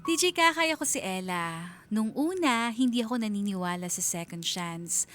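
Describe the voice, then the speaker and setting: A woman's voice: medium pitch, lively intonation, normal speed, a bit bright, faint music behind. A woman narrating a scripted radio drama story in a confiding, reflective manner.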